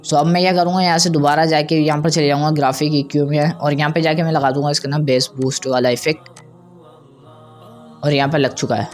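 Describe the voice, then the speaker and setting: A man hosting a casual, step-by-step software tutorial. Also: a little high, flat delivery, fairly quick, soft background music. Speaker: tutorial host.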